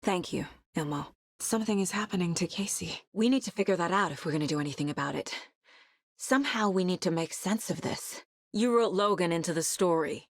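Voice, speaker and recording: A woman voice actress playing a determined, guarded female investigator in a video game, speaking in a measured, serious, naturalistic way. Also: fairly low pitch, dry recording, studio quality. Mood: firm, urgent, then hushed, worried. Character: determined, sharp female FBI investigator, guarded yet driven.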